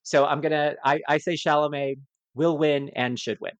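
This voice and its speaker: A man casually discussing awards as a film podcast panelist, repeating words haltingly as he reasons aloud. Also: fairly high pitch, fairly even intonation, dry recording, muffled recording.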